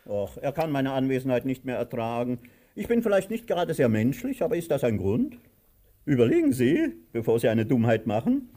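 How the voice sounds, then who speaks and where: An actor playing a pompous, domineering master in a radio play, grandiose and theatrical, given to barked orders and affected courtesy. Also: male voice, mid-range voice, very animated, fairly quick, dark tone. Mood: pompous, courteous. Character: pompous, domineering master with whip and servant, male.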